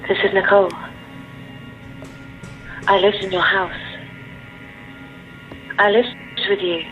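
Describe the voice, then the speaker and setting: Menacing female caller, cold, deliberate, dull phone sound, faint music, radio thriller. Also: medium pitch, little pitch movement, a bit bright. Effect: telephone call filter. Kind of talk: audio drama acting.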